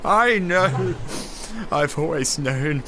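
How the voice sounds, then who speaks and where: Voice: mid-range voice, expressive, very slow, noisy background. An actor in an audio drama playing a cowardly, tearful talking lion, whimpering and pleading hesitantly. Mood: tearful, timid.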